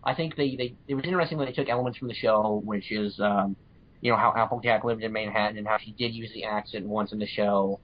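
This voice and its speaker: A man's voice: fairly low pitch, quick pace. A man co-hosting a podcast, giving an earnest, casual review and repeating words as he thinks. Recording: dull lo-fi podcast audio.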